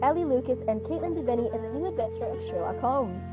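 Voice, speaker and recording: Woman playing quick high-voiced vintage radio announcer. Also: expressive, narrow, tinny audio, over loud music, lightly acted. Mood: cheery eager. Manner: brisk, chummy, prompting. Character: cheery 1940s radio announcer, male, eager interviewer.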